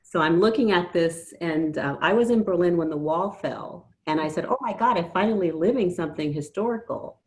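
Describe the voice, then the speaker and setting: A woman with a low voice talks as a podcast guest. She works her way through her thoughts hesitantly, pausing often with "um.". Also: a little mellow. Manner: hesitant, with many "um" pauses. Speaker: interview guest. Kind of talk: conversational interview.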